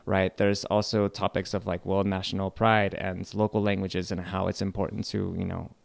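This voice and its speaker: Young Californian lecturer, low gravelly voice, relaxed and halting, dry close sound. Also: male voice, no echo. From California, United States. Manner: relaxed, hesitant, many fillers and restarts. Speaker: English literature lecturer. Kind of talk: casual radio interview.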